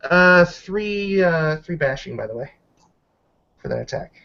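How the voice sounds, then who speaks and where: A man casually narrating a tabletop roleplaying fight, halting and thinking aloud as he goes. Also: a little high, lively intonation, gravelly, a little hiss or hum. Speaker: player narrating a character's combat moves. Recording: dull lo-fi voice recording.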